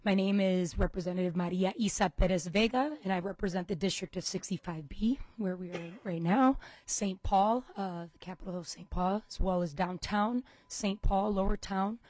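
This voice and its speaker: A woman's voice: mid-range voice, normal speed, few pauses, darkish tone, dry recording. A woman state representative from Minnesota giving a passionate, emphatic introduction at a committee hearing. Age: young adult to middle-aged.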